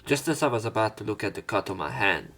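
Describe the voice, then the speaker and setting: A man's voice: on the low side, lively intonation, quick pace, a bit bright. Casual man narrating over music. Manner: casual, sweary interjections between read lines. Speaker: let's play host. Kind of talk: reading visual novel text aloud with casual commentary.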